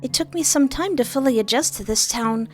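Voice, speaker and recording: A woman's voice: sits high, brisk, faint music behind, voice pushed up, close to an everyday voice. A woman voicing a cheerful, talkative young woman who makes clothes, bubbly and friendly.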